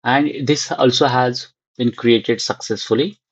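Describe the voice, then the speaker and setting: A man giving an unscripted screen-share software tutorial, thinking aloud in short, halting fragments. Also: medium pitch, steady tone, husky, dry recording, dull audio.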